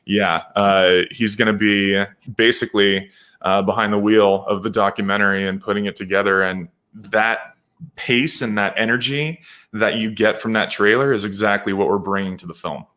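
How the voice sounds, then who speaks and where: A man chats casually as a guest promoting his project. His voice is a little low for a man, yet bright and forceful in tone. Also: steady tone, moderate pace. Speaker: guest documentary maker.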